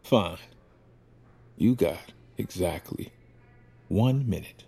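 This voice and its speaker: A man playing a blunt, folksy working-class hospital patient, quick to suspicion, in an audio drama, with a slightly husky voice. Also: low voice, animated, mellow, full character voice. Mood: indignant.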